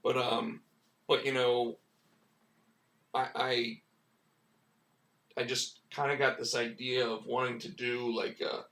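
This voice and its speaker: Man, hoarse, rambling casual host, mid pitch, roomy and off-mic. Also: little pitch movement, takes it slowly, pauses often, a bit bright, recorded from a distance. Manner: rambling, many restarts and "like" fillers. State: losing his voice, hoarse. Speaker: comic book writer and stream host. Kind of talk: casual live-stream chat.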